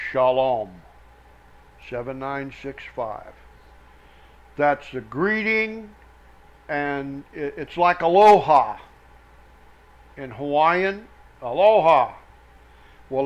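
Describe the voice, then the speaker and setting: A man preaching verse by verse, reading scripture aloud in emphatic, halting phrases and breaking off for explanatory asides. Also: on the high side, expressive. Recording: dull, lo-fi sound.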